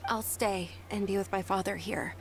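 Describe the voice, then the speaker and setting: Actress as earnest young woman, medium pitch, polite, careful, thoughtful, video game dialogue, faint ambient noise. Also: steady tone, moderate pace, close to an everyday voice. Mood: dazed, earnest. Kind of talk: English video game voice acting.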